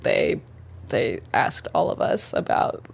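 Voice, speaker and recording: A woman's voice: extremely low pitch, huge pitch swings, slow pace, creaky, slightly rough. A woman casually recounting events in an interview as a resident. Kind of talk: casual anecdotal interview talk.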